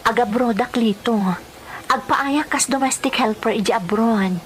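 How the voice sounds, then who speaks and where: A woman's voice: fairly high pitch, moderate pace, slight background noise, lightly acted. A woman playing a poor, long-suffering wife and domestic helper in an emotional radio drama, speaking plaintively with an Ilocano accent. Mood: earnest pleading. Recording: music playing behind the voice.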